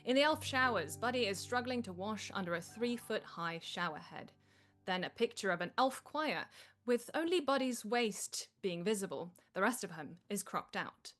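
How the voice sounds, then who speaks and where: A woman's voice: mid-range voice, lively intonation, normal speed, a bit bright, faint music behind. A woman reading stage directions as scripted narration at a live table read.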